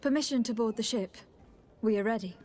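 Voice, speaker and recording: A woman's voice: fairly high pitch, fairly quick, darkish tone, natural voice. An actress playing a composed, modest young noblewoman in a video game cutscene, resolute in manner, over faint ambient noise.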